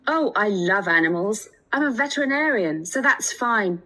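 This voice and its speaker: A woman's voice: mid-range voice, animated, normal speed, sharp tone, rough recording. An actress playing a friendly Japanese student in her twenties in a scripted language test dialogue, speaking carefully. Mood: open, chatty. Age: 20s. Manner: careful, spells things out clearly. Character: friendly 28-year-old Japanese woman student seeking a homestay.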